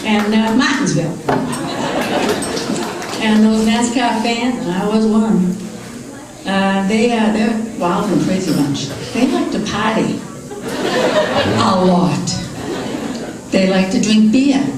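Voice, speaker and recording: An older woman from Boston with a Boston accent doing a stand-up comedy set, unhurried and deadpan, with many "uh" fillers. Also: mid-range voice. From Boston, USA. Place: large comedy club with live audience. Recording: echoey club recorded from far off. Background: crowd laughter and room noise.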